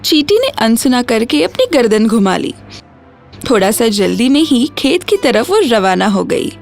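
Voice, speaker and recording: High-voiced woman narrating a children's story. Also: big pitch swings, normal speed, vocal fry, a little mellow. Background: music.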